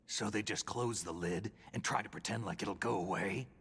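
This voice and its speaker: An actor playing a hard-nosed soldier on a mission in a dramatic video game, asking blunt, clipped questions. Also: male voice, fairly low pitch, normal speed, creaky, a bit bright, husky, full character voice. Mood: skeptical.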